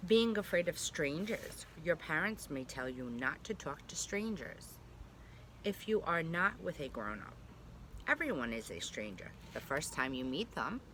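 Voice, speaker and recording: A woman's voice: low-pitched, very animated, a little slow, fairly bright tone, slight background noise, poor audio. A woman pre-K teacher reading a picture book aloud to young children, speaking simply and directly to them with little check-in questions.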